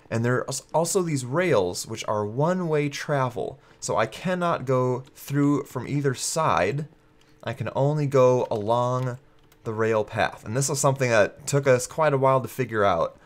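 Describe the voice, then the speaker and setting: A man with a stuffy, congested nose hosting a gaming video, explaining things in a casual, conversational walkthrough style. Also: medium pitch. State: congested with a cold. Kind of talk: casual game walkthrough commentary.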